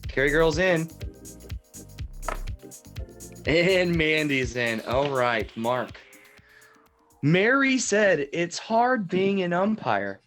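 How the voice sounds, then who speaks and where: A man's voice: on the high side, very animated, a bit bright, faint music behind, full character voice. A man playing an upbeat, teasing parody game show host who stretches out questions with theatrical emphasis. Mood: showy, deliberate. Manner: repeats questions with drawn-out emphasis.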